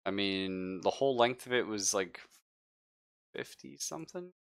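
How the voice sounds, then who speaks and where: A man's voice: lowish voice, animated, measured pace, vocal fry, clear, resonant tone. A man running a tabletop game as game master in casual, easygoing chat. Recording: live online game stream.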